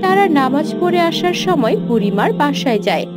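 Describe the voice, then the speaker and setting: High-voiced woman narrating a cartoon story. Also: big pitch swings, moderate pace, loud music behind the voice.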